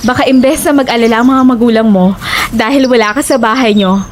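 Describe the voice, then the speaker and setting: A woman's voice: fairly high pitch, fairly quick, background noise, lightly acted. A woman playing a young, fretful and inquisitive travelling companion in conversational audio horror drama dialogue. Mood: eager, chatty. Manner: inquisitive, everyday conversational delivery.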